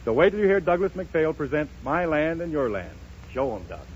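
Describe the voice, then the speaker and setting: A man's voice: fairly high pitch, sing-song, brisk. A man hosting a 1930s radio variety show, giving showmanlike, joking introductions. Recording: noisy, muffled 1930s radio broadcast.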